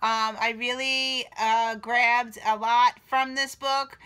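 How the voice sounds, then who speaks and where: A woman with a bright, fairly high voice chats about a book for her vlog camera. She talks slowly and loosely, stopping for many fillers, and sounds a little nasal from a slight cold. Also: smooth, no echo. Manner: relaxed, filler-heavy, unhurried. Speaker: book reviewer. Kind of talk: casual book review vlog.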